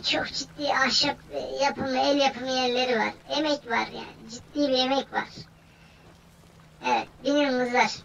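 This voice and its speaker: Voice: high-pitched, vocal fry, a bit bright, recorded from a distance, muffled recording, a little hiss or hum. A gaming host giving casual, playful commentary in slangy banter, often repeating phrases over and over. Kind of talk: casual gameplay commentary, playful role-play.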